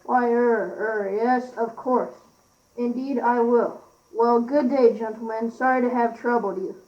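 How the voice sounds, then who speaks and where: A woman's voice: fairly high pitch, steady tone, unhurried, close to an everyday voice. A young teenage girl playing a polite, incredulous London newspaper reporter in an amateur school radio play. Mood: flustered. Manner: courteous, flustered. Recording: dull amateur recording.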